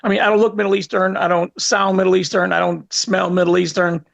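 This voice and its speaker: Halting man with a Chicago accent. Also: high-pitched, little pitch movement, gravelly. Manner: halting, hesitant, many fillers. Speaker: guest, content creator. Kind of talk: casual panel chat. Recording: dull lo-fi sound.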